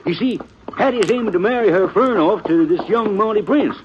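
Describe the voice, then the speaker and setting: A man's voice: high-pitched, expressive, brisk. An actor playing a chatty, folksy frontier wagon peddler with a rural Western American accent and an eager salesman's patter, in 1950s radio western style. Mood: gossipy. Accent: rural American West. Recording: muffled, noisy old radio recording.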